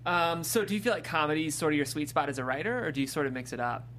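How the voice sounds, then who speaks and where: Male interviewer, slightly high voice, chatty and roundabout, casual delivery, faint background noise. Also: racing, a bit bright. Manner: chatty, filler-heavy, roundabout phrasing. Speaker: interviewer, show host. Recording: clearly noisy background hum.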